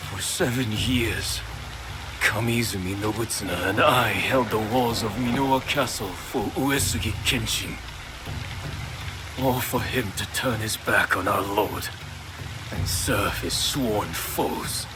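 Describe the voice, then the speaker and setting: An actor playing a proud, bitter samurai master archer in a game cutscene, grave and formal in delivery. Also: male voice, on the low side, slow pace. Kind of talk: dramatic game cutscene acting. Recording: noisy rain-like ambience behind.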